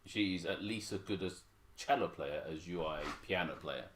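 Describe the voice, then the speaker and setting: A man from Hong Kong with a very deep voice, chatting and joking as he co-hosts his son's piano livestream and reads out viewer comments. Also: normal speed, crowd noise. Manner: chatty, joking, self-mocking. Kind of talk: casual chat reading viewer comments.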